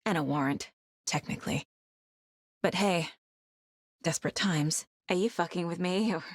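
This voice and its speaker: A woman voice actress playing a determined, guarded female investigator in a video game, speaking in a measured, serious, naturalistic way. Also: on the low side, no echo, studio quality. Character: determined, sharp female FBI investigator, guarded yet driven.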